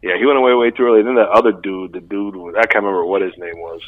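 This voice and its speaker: A man's voice: lowish voice, steady tone, rapid-fire, no echo. A man co-hosting a casual podcast chat, laid-back and slangy, laughing often. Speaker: podcast co-host. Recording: dry, tinny phone-like sound.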